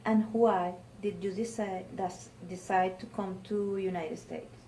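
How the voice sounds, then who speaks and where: A woman interviewer asking questions in a halting way, often rephrasing them. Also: mid-range voice, steady tone, dark tone, rough recording. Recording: faint background noise, room ambience.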